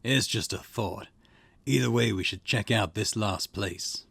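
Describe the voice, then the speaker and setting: A man voicing a gruff, weary older chief detective from a visual novel, with a rough, terse delivery. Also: fairly low pitch, measured pace, fairly bright tone, dry recording, full character voice. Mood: grim, weary. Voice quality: gravelly, rough. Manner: terse, stern.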